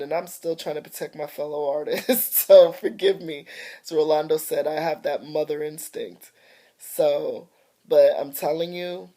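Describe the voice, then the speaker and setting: Middle-aged woman with a low, warm voice and some chest congestion; casual, grateful vlog update. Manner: chatty, grateful, slightly congested. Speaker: artist and vlogger. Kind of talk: casual home video update. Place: at home by a window. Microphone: phone or webcam camera mic.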